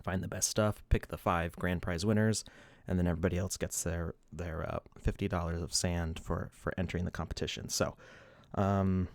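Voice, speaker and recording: A man's voice: low-pitched, level tone, normal speed, hardly any pauses, dry recording. A man in his thirties hosting a casual livestream, rambling, laughing often and a little sniffly. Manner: rambling, laughs often, restarts, reads chat. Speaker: livestream host.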